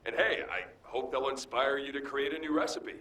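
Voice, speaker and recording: A man's voice: mid-range voice, fairly quick, bright, forceful tone, recorded from a distance, close to an everyday voice. An actor playing a cheeky, easygoing young male soldier in a video game, with a casual, joking manner. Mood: teasing.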